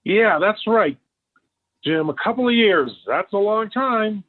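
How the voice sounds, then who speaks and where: A man's voice: high voice, animated, measured pace. An actor playing a jovial, conniving middle-aged American father, eager and folksy, in 1940s radio sitcom style. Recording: tinny, band-limited old radio recording.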